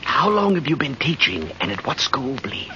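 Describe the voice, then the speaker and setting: An officious, secretive clerk acted by a man speaks in formal 1940s radio comedy style. His delivery is animated, on a muffled broadcast thick with noise. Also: medium pitch, natural voice. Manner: officious, measured. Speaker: supporting actor in radio comedy. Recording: very noisy, muffled vintage radio.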